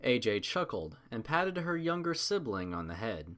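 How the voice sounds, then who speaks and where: A man's voice: mid-range voice, big pitch swings, normal speed, fairly bright tone. A man reading a story aloud as narrator, in a scripted storybook style.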